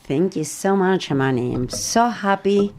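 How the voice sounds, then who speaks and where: Female podcast guest, middle-aged, Argentine Spanish accent, lower register, reflective conversational delivery. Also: lively intonation, a little slow, a little mellow, a little hiss or hum. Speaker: podcast guest, life coach.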